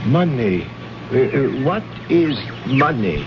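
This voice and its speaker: An actor playing a courteous, guarded alien native in an old radio drama, speaking in formal, precise phrases without contractions. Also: male voice, medium pitch, sing-song, slow pace. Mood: guarded, wary. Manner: formal, precise, uncontracted speech. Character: courteous, guarded male alien native, innocent yet shrewd. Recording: noisy, muffled old radio recording.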